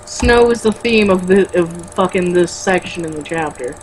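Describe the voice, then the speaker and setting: A woman's voice: on the low side, moderate pace, a little hiss or hum. A woman reading a novel aloud as an amateur, tripping over words now and then and breaking off for blunt, mocking, casual commentary. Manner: stumbles over words when reading, blunt and sweary in asides.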